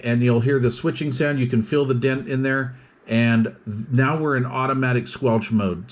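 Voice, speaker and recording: A man giving a casual hands-on product review, explaining things step by step. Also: medium pitch.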